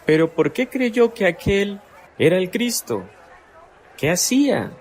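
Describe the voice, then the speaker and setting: A skeptical older townsman who fires off probing questions. His voice rises and falls with lively pitch, and he speaks slowly, with a faint noisy bed behind him. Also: heavily acted.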